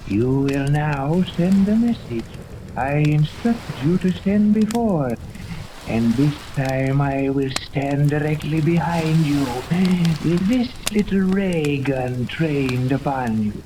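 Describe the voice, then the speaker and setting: Slow, imperious radio villainess. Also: female voice, sits low, lively intonation. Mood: stern, threatening. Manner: slow, haughty, commanding, formal diction. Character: imperious, menacing woman villain with a paralyzing ray gun. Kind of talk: 1930s radio serial acting. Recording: noisy old 1930s radio recording.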